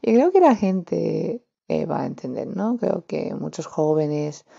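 Woman, slow and dark-voiced. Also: low-pitched, lively intonation, vocal fry, husky, no echo, muffled recording. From Romania. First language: Romanian. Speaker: podcast host. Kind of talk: casual solo podcast, reflective.

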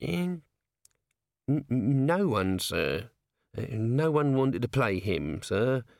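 A man's voice: medium pitch, sing-song, slow pace, creaky, a little mellow, dry recording, studio quality, exaggerated voice. A man voicing a nervous, shifty little male corporal who stammers and hedges, peppering his talk with "sir". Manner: stammering, hesitant, full of "sir"s. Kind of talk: audiobook character voice.

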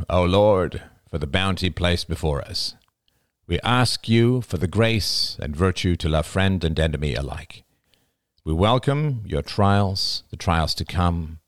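A man voicing a devout, hearty elderly father, speaking in a measured, declaiming way for a story. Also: low-pitched, animated, dry recording, studio quality. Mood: solemn, reverent. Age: older.

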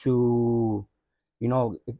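A man's voice: medium pitch, little pitch movement, takes it slowly, phone-quality sound. A man, a parent, talking reflectively as a podcast guest, often circling back with "you know what I mean". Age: adult parent. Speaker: podcast guest, hip-hop artist. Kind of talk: casual conversation.

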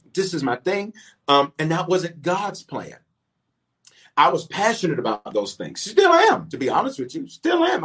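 A middle-aged male pastor and bishop preaching a livestreamed sermon, swinging from quiet asides to sudden emphatic peaks, repeating phrases and calling out to online viewers. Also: mid-range voice. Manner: talks directly to online viewers, calling for waves and shout-outs.

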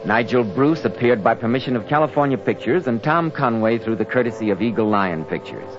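A muffled 1940s radio recording with music behind. A male announcer speaks in a polished, promotional way, with mid-pitched, very lively intonation. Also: brisk.

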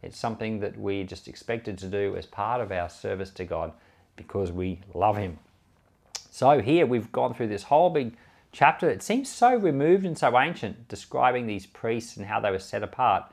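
A man's voice: lowish voice, lively intonation, normal speed, creaky. A man speaking as a pastor, giving informal Bible commentary in an earnest, conversational, explanatory way. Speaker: pastor and Bible podcast host.

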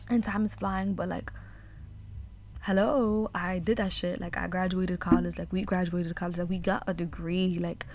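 A woman's voice: on the low side, a little mellow, slight background noise. A woman in her twenties from New York City hosting a solo podcast, rambling in a heartfelt way with lots of fillers and casual swearing. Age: 20s. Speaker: podcast host. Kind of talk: reflective solo podcast monologue.

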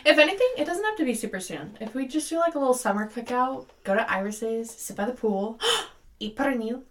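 A young woman co-hosting a casual podcast chat, animated and quick to laugh. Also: a little high. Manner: laughs often, animated storytelling. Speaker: podcast co-host.

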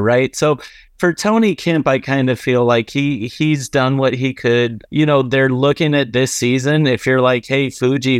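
A man's voice: mid-range voice, clean tone, no room sound, clean studio sound. A man co-hosting a casual sports podcast, talking in a loose, conversational way full of filler words. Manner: conversational, full of "like" and "you know". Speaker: podcast co-host.

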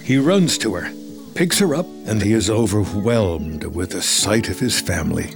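A man reads scripted film narration, giving present-tense stage directions in a dramatic, deliberate delivery. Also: on the low side, animated, vocal fry, music in the background. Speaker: screenplay narrator.

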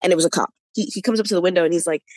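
A person in their twenties, an interview guest, telling a personal story in a chatty, animated way. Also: medium pitch, rapid-fire. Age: 20s. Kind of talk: casual storytelling.

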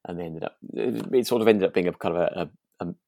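Man, low voice, tentative conversational co-host thinking aloud, dry recording. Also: quick pace, gravelly, warm tone, dry recording. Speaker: podcast co-host. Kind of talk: relaxed chat discussing a radio drama.

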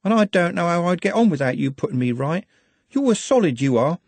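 A woman's voice: lowish voice, expressive, a little fast, a little mellow, no echo, voice pushed up. A man voicing a chatty, eager young working-class woman who rambles in long run-on sentences. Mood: earnest rambling.

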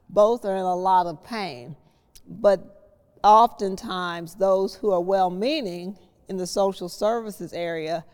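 A woman speaking reflectively in a discussion, explaining things in a measured way as a host. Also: medium pitch, a little mellow. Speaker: podcast host. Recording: long echoing reverb.

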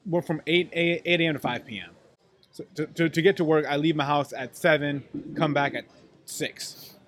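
Guest, man, halting but animated talker with a slightly raised pitch. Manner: halting, repeats words, animated. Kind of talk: casual chat.

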